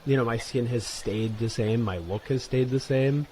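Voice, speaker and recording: A man's voice: mid-range voice, normal speed, a little hiss or hum. Chatty twenty-something man, rambling. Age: 20s. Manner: rambling, animated storytelling with many fillers. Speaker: podcast co-host. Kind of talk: casual chat. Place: New York.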